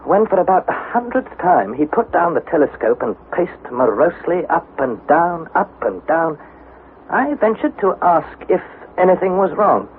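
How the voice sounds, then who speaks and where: A young adventurer, earnest and inquisitive, in an old-time radio serial. His fairly high voice moves up and down with lively feeling over a narrow, tinny broadcast sound with faint noise. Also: normal speed. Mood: dramatic narration. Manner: questioning, tentative, dramatic storytelling. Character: earnest, curious young nephew, narrating his expedition journal. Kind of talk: vintage radio drama narration and acting.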